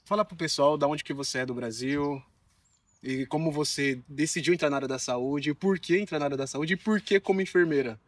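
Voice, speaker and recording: A woman's voice: sits low, fairly quick, dry recording, recorded from a distance. A woman hosting a casual interview, asking leading questions and nudging the guest along with short replies. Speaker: interview host.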